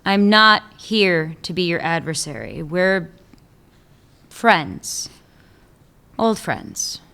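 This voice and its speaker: A woman's voice: lowish voice, lively intonation, very slow, gravelly, bright, forceful tone, clean tone, full character voice. A woman playing a sly woman police chief who teases an old lover, in a radio play. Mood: persuasive. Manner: teasing, needling, wry. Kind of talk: amateur radio drama acting.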